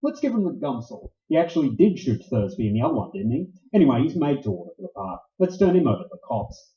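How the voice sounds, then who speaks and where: Casting: male actor as hard-boiled, wisecracking detective, clipped and sardonic, highly animated mid-range delivery, roomy stage sound. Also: fast talker, close to an everyday voice. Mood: hard, insistent. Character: tough, cocky, wisecracking male private detective. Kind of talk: staged radio play acting, 1940s noir. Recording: roomy, dull live stage recording.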